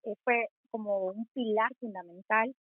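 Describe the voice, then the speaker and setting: A young woman in her twenties from Honduras with a Honduran accent, a student activist answering interview questions in a measured, thoughtful way. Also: mid-range voice, steady tone, no echo. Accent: Honduran Spanish. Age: 20s. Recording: tinny phone-like call audio.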